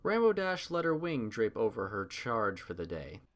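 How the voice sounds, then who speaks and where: Man narrating a story with lively, swooping pitch. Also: normal speed. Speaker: narrator of a fan fiction reading.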